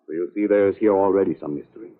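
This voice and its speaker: A man's voice: low voice, racing. A man playing an agitated, harried doctor with stiffly formal phrasing, in 1940s radio acting style. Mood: troubled, suspicious. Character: agitated, formal, foreign-sounding male doctor, harried husband. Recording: dull old radio recording.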